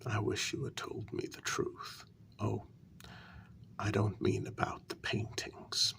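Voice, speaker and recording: A man playing a wounded, quietly menacing human who confronts a vampire queen in a soft-spoken ASMR role-play, with a breathy, gravelly half-whisper and deliberate pauses. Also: fairly low pitch, completely flat delivery, exaggerated voice. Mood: hurt, accusing. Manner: slow, deliberate, pausing between phrases.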